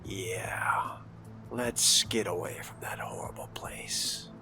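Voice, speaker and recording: A man role-playing a newly sired young vampire for a video game, hesitant and measured, with slightly formal wording. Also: sits low, creaky, whispered, exaggerated voice. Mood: uneasy, guarded. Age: young adult. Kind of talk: role-play acting over a video game. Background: music.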